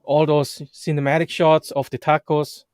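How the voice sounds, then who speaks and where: German-native man hosting a livestream, fairly high voice, dry close sound, halting and animated. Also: smooth, no room sound. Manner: halting, restarts and fillers, animated. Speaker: vlog host. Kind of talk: casual livestream chat. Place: Edmonton, Canada.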